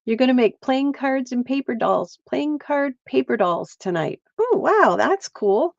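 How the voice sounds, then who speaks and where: A woman's voice: sits high, very animated, measured pace, vocal fry, no room sound, lo-fi sound. A middle-aged woman from Pennsylvania, chatting casually with viewers while she crafts on a livestream. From central Pennsylvania.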